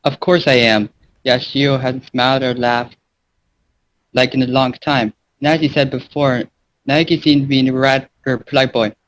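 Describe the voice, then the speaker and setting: A man's voice: medium pitch, little pitch movement, moderate pace, low-quality recording. A man narrates a story in an amateur read-aloud style, with a mid-range voice. He trips over the odd word, and there is background noise on the recording. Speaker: fan fiction reader and gaming channel host.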